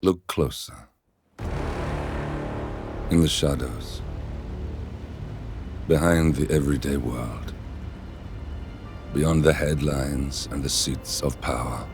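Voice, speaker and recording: A grave, very deep-voiced rebel operative. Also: male voice, full character voice. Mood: brooding, bitter. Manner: slow, brooding, deliberate phrasing. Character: grave male rebel operative vowing to topple a secret organization. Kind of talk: dramatic monologue in a game cutscene. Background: loud dramatic music.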